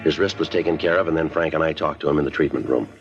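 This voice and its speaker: Hard-nosed American cop, low-voiced and brisk, deadpan delivery, muffled old radio drama sound. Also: male voice, faint music behind. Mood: flat, businesslike. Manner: clipped, deadpan, matter-of-fact. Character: terse no-nonsense male police detective and narrator. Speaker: actor. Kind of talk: 1950s radio crime drama narration and dialogue. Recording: muffled vintage 1950s radio recording.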